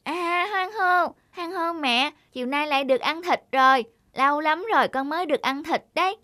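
A woman's voice: sits high, normal speed, pushed and bright, dry recording, clean studio sound. A woman voicing a sweet, devoted little peasant boy in a children's cartoon, with a polite, childlike, pleading manner. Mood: eager. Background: music.

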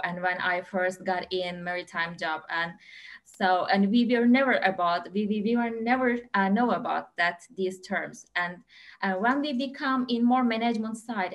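A woman's voice: mid-range voice, a bit bright. A woman in her thirties from Turkey with a Turkish accent, speaking on an online panel over a video call, hesitant, with many fillers and restarts. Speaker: panelist, port captain. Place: home room with lamp.

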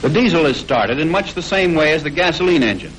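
An American man reading scripted 1940s instructional film narration in a formal, measured way, on an old film soundtrack. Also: fairly high pitch, sing-song, fairly bright tone, a little hiss or hum, low-quality recording.

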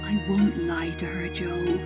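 An actress playing a tender, steady middle-aged mother in an audio drama. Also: on the low side, unhurried, music in the background, lightly acted. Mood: tender, sorrowful.

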